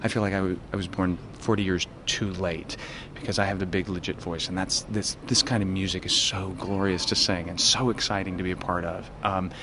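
A man's voice: deep voice, fairly even intonation, brisk. A middle-aged man, a stage actor, speaking animatedly and with self-deprecating humor in an interview. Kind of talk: casual interview. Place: backstage in a theater. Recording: noisy backstage ambience.

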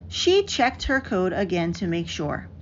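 A woman reads a children's picture book aloud in an expressive, carefully enunciated storytelling manner. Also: on the low side, measured pace. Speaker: storybook reader. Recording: dull lo-fi audio with clear background noise.

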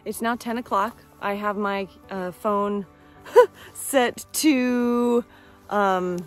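A woman's voice: medium pitch, drawn-out words, faint music behind. A woman in her thirties with an American accent, talking in an easygoing, colloquial way in a casual outdoor vlog. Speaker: rock-hunting vlogger. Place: outdoors on a pebbly beach.

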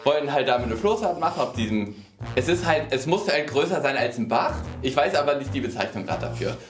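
A man's voice: medium pitch, lively intonation, a little fast. A man in his twenties telling a casual story, rambling and correcting himself as he goes. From rural Germany. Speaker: storyteller in a lie-detection game show. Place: indoor room in front of a screen. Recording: roomy echo, music underneath. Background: music.

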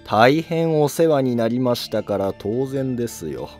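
A man's voice: medium pitch, lively intonation, unhurried, darkish tone, soft background music, lightly acted. A man playing an ambitious, guarded courtier with controlled, courteous speech in a historical drama. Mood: smoothly polite. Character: ambitious male Fujiwara courtier, smoothly polite, guarded schemer. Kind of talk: scripted historical drama dialogue.

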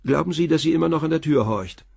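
An actor playing a sober, persistent police commissioner in a German crime radio play, questioning in a matter-of-fact way. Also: male voice, fairly high pitch, quick pace, creaky, darkish tone, slightly rough, no echo, exaggerated voice. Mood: measured, probing. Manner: probing, matter-of-fact, insistent.

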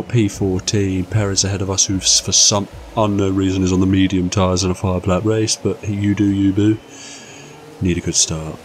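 A young man with a cold, croaky, giving excitable, jokey commentary over his racing game. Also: lowish voice, slight background noise. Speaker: gaming channel host. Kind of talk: casual gameplay commentary.

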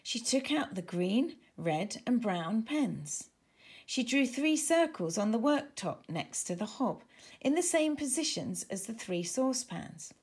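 A woman reading a children's storybook aloud in an expressive, deliberate way. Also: fairly high pitch, breathy edge. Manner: animated, deliberate. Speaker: author reading own storybook.

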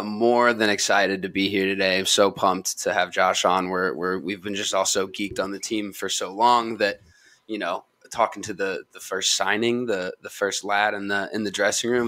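An excitable male co-host with a bright, forceful voice that sits a bit low. He talks fast and slangy in a casual podcast chat.

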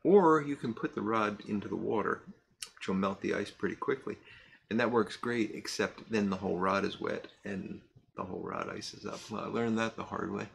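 A middle-aged man chatting casually to camera in a cluttered workshop, rambling with fillers and pausing to puff on a pipe. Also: lowish voice, gravelly, slight background noise. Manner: relaxed and rambling, many fillers and restarts; pauses to puff on a pipe while talking. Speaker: pipe-smoking vlogger. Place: cluttered workshop room, indoors.

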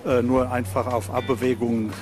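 A man answers an interview casually and haltingly. His mid-pitched voice is flat in intonation, with music behind it. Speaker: interviewed member of the public.

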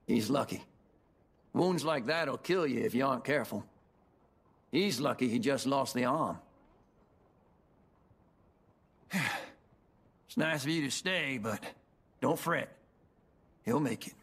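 An actor playing a brisk, matter-of-fact older town doctor in a western video game, with a husky voice. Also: male voice, mid-range voice, expressive, a bit bright, full character voice. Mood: calm, reassuring. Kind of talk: video game cutscene acting.

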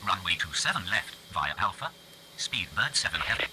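A man's voice: low voice, big pitch swings, pushed and bright, exaggerated voice. A man voicing an airline pilot in a game, reading back radio calls in a clipped manner. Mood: brisk. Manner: quick, clipped radio readbacks. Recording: noisy game radio audio.

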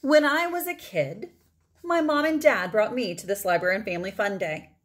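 A middle-aged woman reading a children's picture book aloud expressively, with deliberate phrasing and emphatic pauses. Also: mid-range voice, animated. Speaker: read-aloud storyteller.

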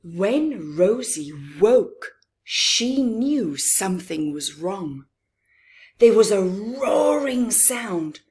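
Middle aged lady reading a kids story, really slow and expressive, bit breathy husky voice. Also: medium pitch. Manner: expressive, dramatic pauses and emphasis, chatty asides to listeners. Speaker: children's story reader. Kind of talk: read-aloud storytelling for young children. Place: living room, indoors.

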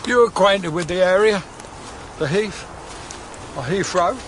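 A man's voice: high-pitched, unhurried, slight background noise. An actor playing a folksy, teasing old-time countryman in a radio drama, with archaic turns of phrase. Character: cagey, chatty old-time English countryman ghost, gruffly folksy.

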